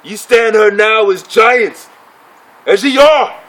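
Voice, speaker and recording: A proud, embittered gladiator chief played by a man with a notably high male voice. He speaks in formal, declamatory stage oratory, setting out his phrases with deliberate pauses as he addresses his fellow fighters. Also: a bit bright. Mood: bitter, rousing. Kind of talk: theatrical dramatic monologue.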